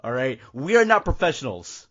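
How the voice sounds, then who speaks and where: A man hosting a podcast, chatting casually about films in a rambling, halting way. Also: mid-range voice, sing-song, a bit bright. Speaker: podcast host. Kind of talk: casual film discussion. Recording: slightly muffled audio.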